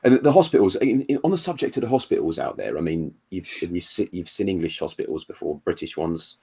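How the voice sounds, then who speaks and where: Bloke co-hosting a podcast, bit low and husky, chatty and easygoing. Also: male voice, expressive, warm tone. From Wales. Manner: chatty, reacts with quick yeahs, British slang. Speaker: co-host. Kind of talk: casual chat.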